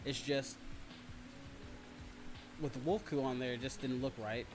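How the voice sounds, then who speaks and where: A man's voice: medium pitch, steady tone, measured pace, loud music behind the voice. A man hosting a casual livestream, chatting with viewers in a rambling, repetitive way with teasing complaints. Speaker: livestream host. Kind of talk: casual livestream chat, reading and answering viewer comments.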